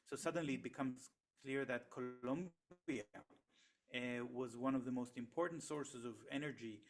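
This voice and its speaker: A middle-aged man whose first language is Hebrew, a researcher explaining his work in an interview with steady, hesitant pacing and frequent pauses and restarts. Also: mid-range voice. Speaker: economist and researcher, interview guest.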